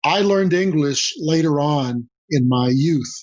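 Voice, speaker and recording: An older man from Mexico whose first language is Spanish, a guest on a podcast interview, talking in a measured way and repeating key phrases for emphasis. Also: on the high side, no room sound. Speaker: guest, senior advisor. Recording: dull dry lo-fi audio.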